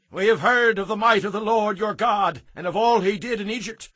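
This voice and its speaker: A man's voice: sits high, sharp tone, dry recording, full character voice. A man playing a wary, pleading ancient envoy in a dramatized audio Bible, with earnest, theatrical storytelling.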